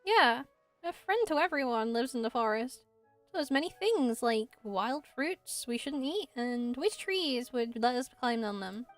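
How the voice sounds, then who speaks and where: A woman's voice: fairly high pitch, lively intonation, measured pace, soft background music, pitched below the performer's own voice. A woman voicing an earnest, hesitant, innocent young village boy in a game.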